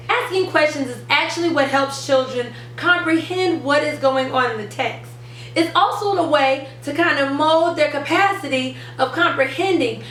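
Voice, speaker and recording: A woman's voice: sits high, fairly bright tone. A young woman presenting a how-to lesson as a reading teacher, explaining step by step and stressing key words. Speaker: reading teacher and channel host. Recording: roomy, distant mic, faint noise.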